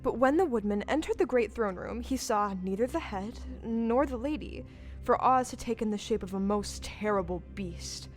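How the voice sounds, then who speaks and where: A woman's voice: on the high side, normal speed, faint music behind. A woman giving scripted storybook narration in an audio play.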